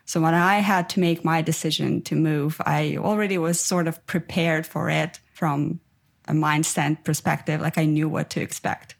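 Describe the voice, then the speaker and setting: A woman from Armenia, raised in Ukraine, talks as an interview guest. Her voice sits a little low, and she answers in a thoughtful, earnest way. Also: steady tone, normal speed, creaky. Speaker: guest, life coach. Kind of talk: casual interview.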